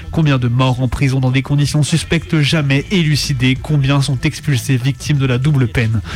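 A man presenting on radio, reading a scripted militant documentary text in measured phrases. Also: mid-range voice, a little mellow. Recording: music playing under the voice. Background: music.

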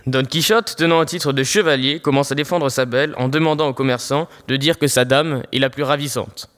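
A man's voice: medium pitch, steady tone, fairly quick, fairly bright tone. A teenage man reading scripted narration for an audio fiction story. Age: teens.

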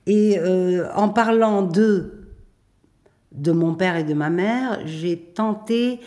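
A middle-aged woman, speaking thoughtfully in a radio interview, pausing and restarting often. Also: lowish voice, mellow. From Belgium. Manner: thoughtful, hesitant with many pauses and restarts. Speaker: playwright and performer.

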